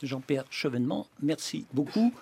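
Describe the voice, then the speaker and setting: A man hosting a radio interview, asking brisk, direct questions. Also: on the high side, animated, warm tone, husky. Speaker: radio host.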